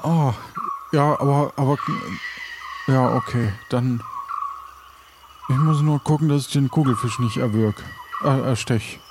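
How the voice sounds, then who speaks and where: A man's voice: medium pitch, a little slow. A man improvising in an audio play, speaking in a hesitant, stammering way. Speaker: player in an improvised adventure. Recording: clear background ambient noise.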